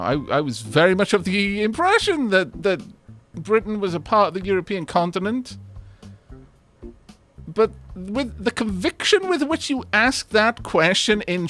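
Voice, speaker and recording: Animated man chatting on stream. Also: high-pitched. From Wales. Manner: animated, rambling, self-deprecating banter with chat. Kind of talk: casual game stream chat.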